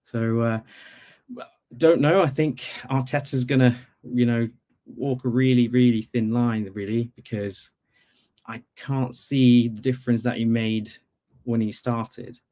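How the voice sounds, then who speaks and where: Man, mid-pitched, from Bangladesh, football fan caller, casual sports talk, conversational asides. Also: steady tone, unhurried, dark tone. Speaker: football fan calling in to a podcast.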